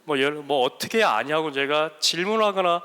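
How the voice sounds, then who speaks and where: A man's voice: on the high side, animated, moderate pace, bright, forceful tone, clean tone. A man speaking learned Seoul Korean with a lingering regional dialect, giving a joking, self-deprecating lecture to students into a handheld mic, with frequent hesitant fillers. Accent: Korean regional dialect under learned Seoul speech. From South Korea. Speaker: guest mentor lecturer. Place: large hall at a school workshop. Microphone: handheld microphone. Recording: echoey hall reverb.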